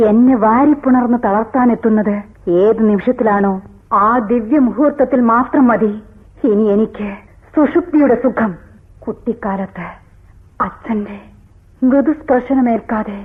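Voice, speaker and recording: A woman's voice: on the high side, slightly rough. An actress in a radio drama playing a sorrowful, brooding woman who speaks in poetic, theatrical monologue. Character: sorrowful woman, Dakshayani, brooding, bitterly wry, recalling her father. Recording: tinny phone-like old radio broadcast.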